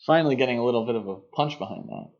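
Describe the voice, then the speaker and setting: A man's voice: medium pitch, racing. A man co-hosting a podcast, discussing film casually in an opinionated, conversational way. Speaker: podcast co-host. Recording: dull, muffled lo-fi podcast audio.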